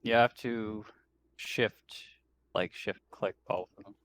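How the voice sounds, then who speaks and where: A man's voice: lowish voice, steady tone, takes it slowly, a bit bright, slight background noise. A man playing a tabletop game, chatting casually over an online voice call.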